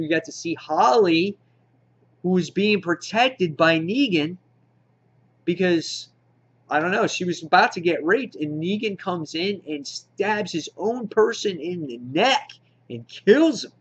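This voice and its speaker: A man's voice: a little high, a bit bright. A man hosting a casual, unscripted review vlog, talking to his viewers with an enthusiastic fan's manner. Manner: enthusiastic fan talk, addresses viewers directly. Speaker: comic book reviewer, channel host. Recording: dull, lo-fi home recording.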